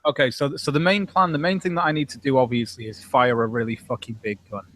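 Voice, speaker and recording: A man's voice: mid-range voice, steady tone, quick pace, fairly bright tone. A young man with a Manchester accent, chatting casually on a group call and rambling crudely with lots of fillers and restarts. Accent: Manchester English. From England. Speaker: panel guest.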